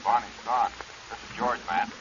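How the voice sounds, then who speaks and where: A man's voice: mid-range voice, steady tone, moderate pace, lightly acted. An actor playing an unsuspecting, obliging male partner tending a diver's pump, plain-spoken, in 1940s radio drama. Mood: reassuring. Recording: muffled vintage radio recording, faint noise.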